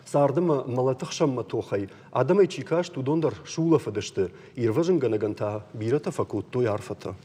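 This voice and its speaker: A male co-host with a soft, dark timbre and a husky edge presents news conversationally. His voice carries a long reverb, as in a hall. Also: medium pitch, animated, fairly quick, hardly any pauses. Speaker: TV talk show co-host.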